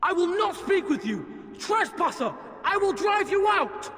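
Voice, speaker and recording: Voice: medium pitch, lively intonation, normal speed, a little hiss or hum, natural voice. An actor voicing an imperious, threatening demon in a child's guise for a fantasy video game. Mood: furious. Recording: long hall reverb.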